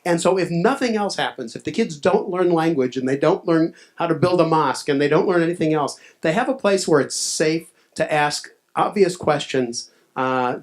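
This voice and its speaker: Measured man explaining on a panel. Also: on the high side. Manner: measured, explanatory, with frequent fillers. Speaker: nonprofit representative on a panel. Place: live event room at a cafe screening.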